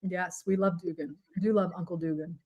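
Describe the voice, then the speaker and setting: A woman's voice: on the low side, steady tone, fairly quick, dull audio. A woman co-hosting a casual political talk show, bubbly and enthusiastic, often laughing. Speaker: co-host.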